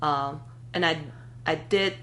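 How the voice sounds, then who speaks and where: A woman's voice: low voice, takes it slowly, fairly bright tone, a little hiss or hum. A woman in her thirties hosting a podcast interview, speaking thoughtfully and hesitantly, with fillers and restarts as she searches for words. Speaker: podcast host. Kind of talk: conversational interview.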